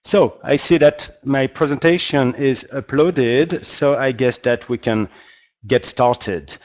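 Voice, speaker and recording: A man's voice: mid-range voice, slow, darkish tone. A man with a strong French accent giving an online expert presentation, politely and with frequent hesitations. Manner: hesitant, searching for words, polite. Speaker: guest expert, humanitarian practitioner. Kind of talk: webinar presentation.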